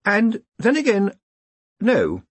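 A man's voice: high voice, wildly swooping pitch, takes it slowly, husky, no echo, pitched above the performer's own voice, full character voice. An earnest young academic wizard, acted out by a male narrator in a fantasy audiobook. He is hesitant and deferential, explaining with care in a raised, swooping voice. The recording is dry and close. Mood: uneasy.

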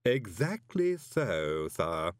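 A man's voice: deep voice, dry recording, clean studio sound, full character voice. Casting: impassive stumpy henchman-butler for an audiobook, formal and servile, dryly recorded. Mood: impassive, deferential. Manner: deadpan, ceremonious, unhurried servility. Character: stumpy, impassive Igor manservant, deferential and formal.

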